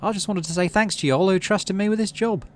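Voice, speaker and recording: A man's voice: high-pitched, a little fast, a little hiss or hum, voice pushed up, close to an everyday voice. A man voicing an earnest, folksy young woman councillor in a sci-fi game story.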